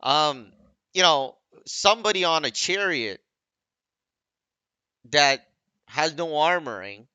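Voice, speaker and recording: Bright-voiced man rambling through game commentary. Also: on the high side, lively intonation, slow pace, long pauses, clean tone, dry recording, highs cut off. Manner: rambling, second-guesses his own wording. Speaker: gaming video creator. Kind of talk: casual off-the-cuff game commentary.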